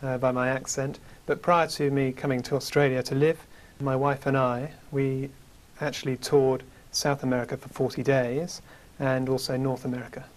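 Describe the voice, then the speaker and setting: A man in his thirties with a British accent, a guest in a studio interview, telling about his travels in a measured way with many fillers, on a lapel mic. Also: mid-range voice, flat delivery. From United Kingdom. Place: small studio with curtain backdrop.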